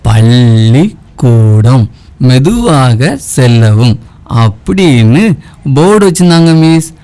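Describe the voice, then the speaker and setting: A man playing a cheeky, eager schoolboy in a children's puppet show, with a drawn-out, singsong delivery. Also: fairly high pitch, expressive.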